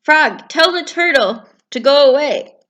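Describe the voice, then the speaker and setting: A woman's voice: sits high, lively intonation, a bit bright, highs cut off, pitched above the performer's own voice. A woman voicing a fussy, grumpy male toad who gets upset easily, in a storybook reading. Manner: whiny and indignant, drawn-out complaints.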